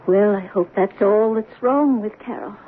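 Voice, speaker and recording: A woman's voice: medium pitch, lightly acted. An actress playing a worried middle-aged mother who speaks hesitantly and trails off, in 1970s radio drama style. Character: worried mother of a teenage daughter. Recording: tinny, hissy old radio broadcast.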